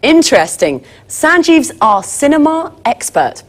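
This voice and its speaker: A woman's voice: high-pitched, very animated, measured pace. A woman playing a keen, friendly TV presenter in a scripted educational programme. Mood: friendly, interested.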